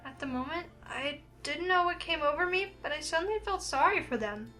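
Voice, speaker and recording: A woman voicing a curious, introspective young heroine, reading her thoughts and lines aloud from a visual novel in an earnest, expressive way. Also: high voice, brisk, faint music behind, pitched above the performer's own voice. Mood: pensive.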